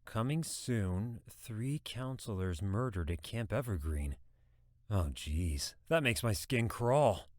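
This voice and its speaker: A man's voice: on the low side, expressive, slow pace. A man acting as an earnest, timid police deputy in an audio drama, hesitant and apologetic. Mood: uneasy.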